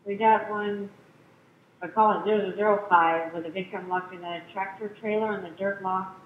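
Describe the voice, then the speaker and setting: A woman's voice: mid-range voice, fairly even intonation, roomy sound. A woman working as a police dispatcher, speaking in clipped procedural phrases over a radio. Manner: brisk, clipped procedural talk. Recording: muffled, noisy police scanner radio.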